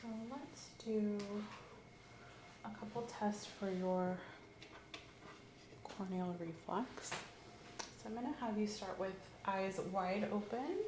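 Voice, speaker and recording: A woman doing an ASMR medical roleplay as an examining clinician, giving calm, gently coaxing clinical instructions. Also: mid-range voice, takes it slowly, long pauses. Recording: roomy echo, faint background hiss.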